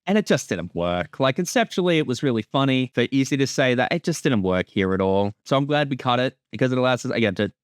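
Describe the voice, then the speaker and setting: A man's voice: medium pitch, lively intonation, fast talker, hardly any pauses, smooth, no echo, studio quality. A man giving a casual, jokey creator's commentary with informal language and some swearing.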